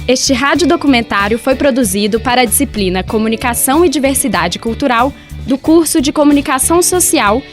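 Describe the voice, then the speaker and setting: Woman narrator, scripted closing read, light high pitch. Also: lively intonation, brisk, over music. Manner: clear, reading. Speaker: documentary narrator.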